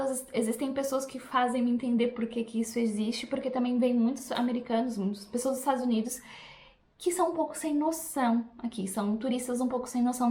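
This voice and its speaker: A woman's voice: a little high, a little mellow, reverberant. A young Brazilian woman vlogger with a Brazilian Portuguese accent, chatting reflectively and directly to her viewers. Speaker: language teacher vlogger. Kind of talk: casual talk to camera.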